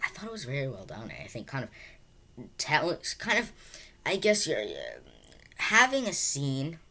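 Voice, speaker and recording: Female film reviewer, extremely low pitch, casual and unscripted, halting delivery full of repeated words. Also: a bit bright. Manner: halting, rambling, repeats words and restarts sentences often.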